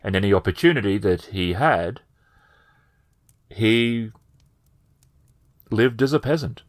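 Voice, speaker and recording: A humble feudal village man, as a game master plays him, low and unhurried. He speaks plainly and formally, choosing words with careful deference, close and dry in a tabletop roleplay. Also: lively intonation, dry recording. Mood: somber, reflective. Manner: measured, formal, halting.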